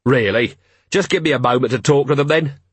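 A man's voice: medium pitch, fairly quick, husky, no room sound, close to an everyday voice. A man voicing a weary, sardonic male city watch commander in an audiobook, dry and clipped, with ironic authority. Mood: dry, questioning. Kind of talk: audiobook character voice.

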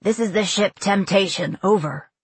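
Commanding, impatient male sea captain played by a female narrator, loud clipped radio-call delivery, slightly husky, close dry sound. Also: mid-range voice, moderate pace, no echo.

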